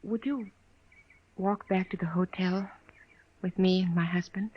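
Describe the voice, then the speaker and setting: Muffled, subdued frontier wife. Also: female voice, fairly low pitch, steady tone, a little slow, husky. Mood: tender, resigned. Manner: formal, uncontracted, subdued speech. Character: resigned, devoted frontier wife, quietly resolute. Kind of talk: 1950s radio western acting. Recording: dull, noisy old radio recording.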